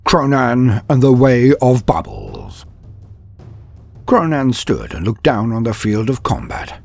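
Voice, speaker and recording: A man's voice: mid-range voice, huge pitch swings, takes it slowly, vocal fry, darkish tone, soft background music. A man narrating a comedic fantasy story in a mock-epic, dramatic storytelling manner.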